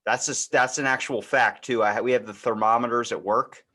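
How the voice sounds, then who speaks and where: A man's voice: medium pitch, steady tone, brisk, sharp tone. Rambling American man chatting casually. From United States. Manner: rambling storyteller, acting out quoted exchanges. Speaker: podcast host. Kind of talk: casual conversational chat.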